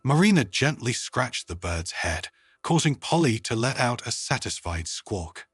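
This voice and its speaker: A man narrating a scripted story in a dramatic, expressive audiobook style. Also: mid-range voice, unhurried, husky, no echo, clean studio sound, soft background music.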